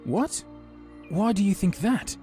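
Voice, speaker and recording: A man's voice: sits high, lively intonation, slow, warm tone, faint music behind, voice pushed up. A man voicing an earnest, worried male leader of fantasy adventurers, polite and questioning. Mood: concerned, puzzled.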